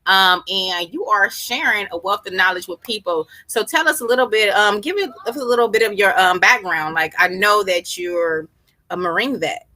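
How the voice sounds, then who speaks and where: Bright-voiced American woman, casual show host. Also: mid-range voice, moderate pace. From Los Angeles, United States. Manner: informal, slangy, encouraging. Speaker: host of an online business community show. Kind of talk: casual chatty live interview.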